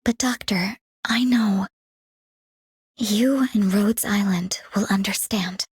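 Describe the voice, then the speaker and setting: A woman voicing a gentle, earnest idealist in a video game, soft and hopeful, with a breathy, husky voice. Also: medium pitch, takes it slowly, dry recording. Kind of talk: video game character voice lines.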